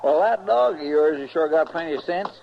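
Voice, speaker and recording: Soft-hearted Western deputy's helper, rural twang, respectful, higher register, muffled vintage radio drama recording. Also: male voice, lively intonation, brisk, vocal fry. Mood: folksy, admiring. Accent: rural American, Western drawl. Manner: folksy, deferential drawl. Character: loyal, soft-hearted folksy male Western deputy sidekick. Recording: dull, noisy old radio recording.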